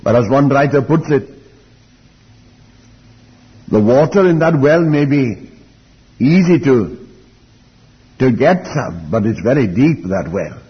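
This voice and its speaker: Elderly preacher, muffled in a reverberant hall. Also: male voice, mid-range voice, lively intonation, breathy edge. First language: Welsh. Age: older, elderly. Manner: deliberate, reflective, with rhetorical questions and repeated phrases. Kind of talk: Bible teaching sermon.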